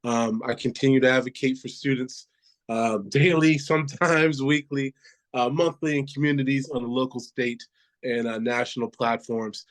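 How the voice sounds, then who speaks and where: A man with a congested voice from a cold answers interview questions earnestly, filling his pauses with ums and uhs. His voice sits at a middling pitch. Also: level tone. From Pittsburgh, Pennsylvania. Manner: earnest, with frequent fillers. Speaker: school board candidate.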